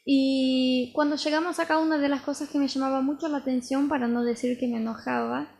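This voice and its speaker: A woman in her thirties from Brazil, native Portuguese speaker, co-hosting a casual podcast and explaining her points through lively everyday stories. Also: sits high, fairly quick, creaky. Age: 30s. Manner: lively storytelling, explaining with examples. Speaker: podcast co-host, missionary.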